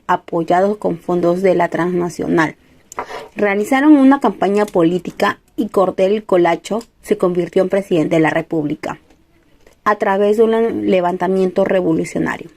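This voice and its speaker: A woman's voice: mid-range voice, mellow. A young woman, reading scripted narration as a student presenter. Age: young adult.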